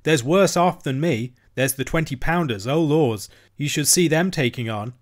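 A man's voice: a little high, moderate pace, pitched above the performer's own voice, lightly acted. A man doing a swaggering simple-minded cabin boy. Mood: boastful. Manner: swaggering, sly, bragging. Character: boastful half-witted young cabin boy.

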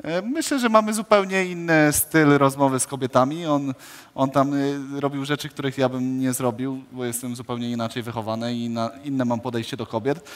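A young man with a Polish accent on a headset mic, answering questions in measured, explanatory sentences. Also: mid-range voice, big-room sound. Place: indoor studio stage.

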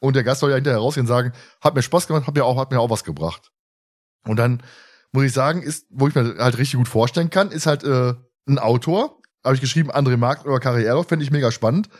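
A man's voice: medium pitch, fast talker. A man hosting a casual fan podcast, talking in a rambling way and often repeating words and phrases. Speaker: podcast host.

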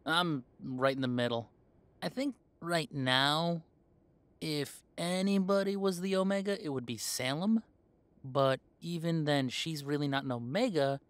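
A man's voice: fairly high pitch, unhurried, no room sound, natural voice. Guy doing a young werewolf boyfriend type, chatty n earnest, very dry close mic, audio rp. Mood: relaxed, earnest. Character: easygoing young male werewolf, defensive with parents. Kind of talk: conversational scripted audio roleplay.

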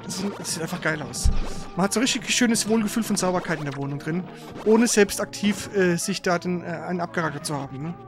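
Chatty man over loud game music. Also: high-pitched, fast talker. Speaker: streamer and co-host. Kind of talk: casual live game stream chat.

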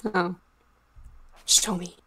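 A woman's voice: on the low side, flat delivery, extremely slow, bright, forceful tone, a little hiss or hum, full character voice. A woman playing a stubborn, sulky, teasing zombie girl in an improvised roleplay game, with short, blunt replies. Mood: defensive.

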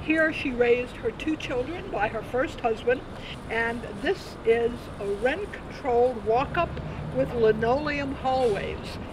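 A woman's voice: fairly high pitch, takes it slowly. An older woman leading a walking tour outdoors on a city street, speaking into a headset mic to a group. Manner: informative, addressing a group. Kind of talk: guided tour talk. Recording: loud city street noise.

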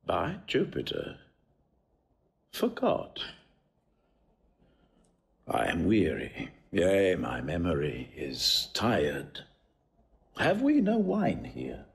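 An actor playing a proud, battle-weary Roman war hero in declamatory Shakespearean drama. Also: male voice, low-pitched, huge pitch swings, extremely slow, vocal fry, long pauses, full character voice. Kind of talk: classical Shakespearean audio drama.

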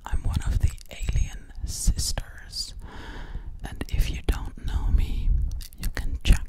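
Slow breathy whispering young woman. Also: rough recording. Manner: slow breathy whisper, repeating trigger words. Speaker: ASMR creator. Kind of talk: ASMR whispering. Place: small bedroom, indoors. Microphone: large foam mic held close to mouth.

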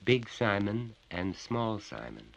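Storybook small boy, timid and quiet, read by a man, with a bright tone. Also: lowish voice, very animated, slow, gravelly, lo-fi sound, voice pushed up, exaggerated voice. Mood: subdued. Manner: quiet, subdued, resigned.

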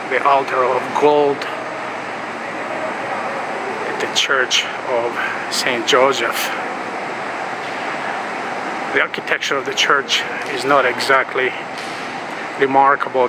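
A man speaks from inside a large church, talking viewers through its history with unhurried, explanatory phrasing and frequent pauses. His voice sits at an ordinary middle pitch. A heavy, steady hum of air conditioning and outside traffic runs under everything he says. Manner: relaxed, explanatory, pausing often. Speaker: travel vlogger. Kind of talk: walking tour narration.

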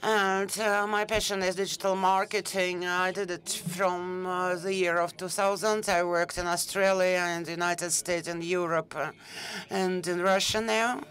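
A woman giving a halting live interpretation of a speaker at a conference. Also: on the low side, fairly even intonation, a bit bright. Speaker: simultaneous interpreter.

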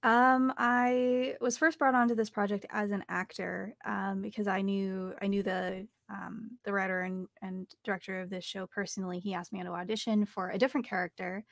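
A woman's voice: medium pitch, fairly even intonation, normal speed, vocal fry, few pauses, fairly bright tone, no echo. A young woman talking casually as an interview guest about her voice acting work. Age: young adult. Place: small home recording studio, indoors.

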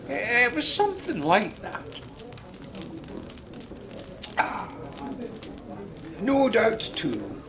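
A Scottish storyteller in a radio drama. He takes his time, with a lively, swooping delivery. He is wistful and a little gossipy, drifting into hesitant, confiding asides. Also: a little high, low-quality recording. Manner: musing, conversational, hesitant asides. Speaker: narrator.

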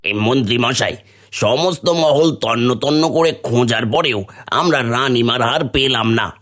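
A man voicing a dutiful, excitable palace guard in a cartoon fairy tale, deferential and theatrical. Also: medium pitch, moderate pace, close to an everyday voice.

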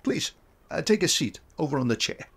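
An actor playing a polite, gentle older male photographer from Denmark in an audio drama. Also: a little high, big pitch swings, fairly quick, creaky, breathy edge, heavily acted.

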